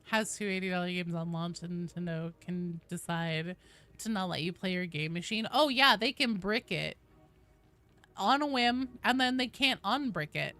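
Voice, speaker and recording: A woman's voice: fairly low pitch, moderate pace, sharp tone, soft background music. A woman doing casual live gaming commentary, informal and sweary, chatting with her viewers. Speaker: game streamer, host.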